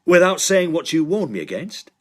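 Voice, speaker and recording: A man's voice: fairly high pitch, big pitch swings, moderate pace. A man playing a sceptical, self-assured young student in a period drama, with formal, articulate phrasing. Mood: dismissive, confident. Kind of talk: dramatised first-person period narration and dialogue.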